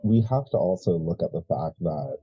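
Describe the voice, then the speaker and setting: A man playing a measured, proud, calculating heir to an occult family in a tabletop horror game, speaking deliberately and haltingly. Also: sits low, animated, breathy edge. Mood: proud, scheming. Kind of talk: improvised tabletop horror roleplay. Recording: muffled mic with music behind.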